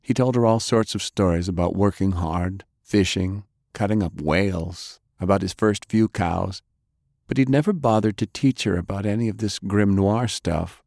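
A man's voice: sits low, normal speed, a little mellow, no room sound, clean studio sound. A man narrating fiction as an audiobook reader, telling a scripted story in prose.

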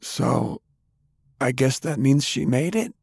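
Guy doing a nervous raspy dude, voice pitched up a bit, parched. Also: animated, slow, a little mellow, dry recording, clean studio sound, exaggerated voice. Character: nervous thirsty young man, rasping.

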